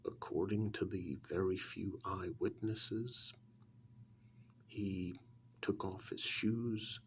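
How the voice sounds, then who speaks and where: Slow, hushed man telling an ASMR story. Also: low voice, fairly even intonation, slight background noise. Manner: hushed, often dropping into whisper; halting, deliberate phrasing with long pauses. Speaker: ASMR role-play performer. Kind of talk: soft-spoken ASMR storytelling.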